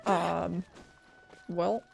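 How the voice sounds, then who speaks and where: A woman doing casual, informal live commentary as she plays a video game, expressive and thinking aloud. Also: on the low side, drawn-out words, gravelly. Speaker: game streamer. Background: game music.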